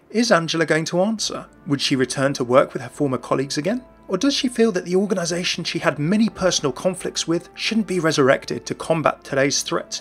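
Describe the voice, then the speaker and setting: Man narrating game lore. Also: fairly high pitch. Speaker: gaming lore video host.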